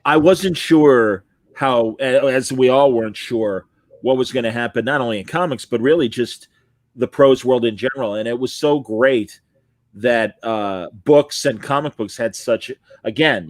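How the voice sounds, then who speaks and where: Male interviewer, mid-pitched, dry room-free sound, chatty and eagerly affirming. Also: no echo. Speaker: interviewer and host. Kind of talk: casual chat interview.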